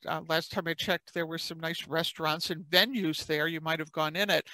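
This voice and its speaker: A woman's voice: fairly low pitch, pushed and bright, no room sound, poor audio. A woman from the South Side of Chicago with a Chicago accent, giving a chatty online workshop presentation and acting out quoted speech.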